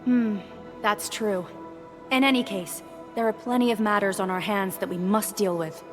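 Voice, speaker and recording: A woman voicing a serious, businesslike scientist in charge, in a video game, with a measured manner. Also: mid-range voice, fairly even intonation, natural voice. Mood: serious, thoughtful. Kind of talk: scripted game dialogue. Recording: long reverb on the voice. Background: game music.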